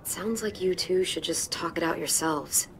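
A woman playing a calm, level-headed teenage girl survivor in a game drama, measured and matter-of-fact. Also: lowish voice, slight background noise, natural voice, poor audio. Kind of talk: naturalistic video game drama acting.

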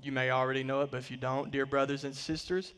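A man in his thirties preaching a sermon from a church stage, swelling on key words and dropping to quiet line endings. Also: medium pitch, flat delivery, fast, clear, resonant tone, in a large hall. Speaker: pastor. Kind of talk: sermon with scripture reading. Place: large church stage, indoors.

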